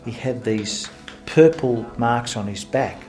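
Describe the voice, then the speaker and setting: A man's voice: medium pitch, slow pace, a little mellow, soft background music. A man speaking as a practitioner, giving a first-person account of his work. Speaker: homeopathy practitioner. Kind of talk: first-person promotional testimony.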